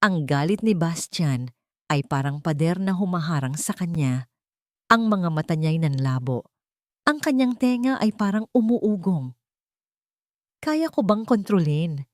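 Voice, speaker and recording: A woman's voice: lowish voice, lively intonation, a little slow, no echo. Woman narrating romance, dry sound. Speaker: story narrator. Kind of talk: scripted dramatic romance narration.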